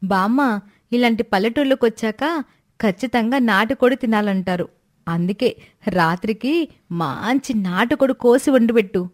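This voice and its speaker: A woman's voice: a little high, a little slow. A woman voicing a cheeky, scheming young village woman in a moral storybook tale, bold, coaxing and insistent. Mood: demanding.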